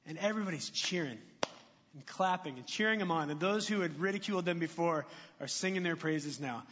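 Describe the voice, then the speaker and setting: A man preaching in a church sanctuary, chatting and joking with the congregation between points. His voice sits high for a man. The sound is muffled, with a long reverb trailing off each phrase. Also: a bit bright, breathy edge. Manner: conversational, jokey, asks the congregation questions. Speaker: pastor. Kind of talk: sermon.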